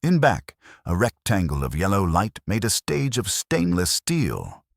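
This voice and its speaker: A man reading scripted first-person detective fiction in a noir style, with a gravelly, husky voice. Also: low voice, huge pitch swings, measured pace, no echo, studio quality. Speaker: narrator of a detective story.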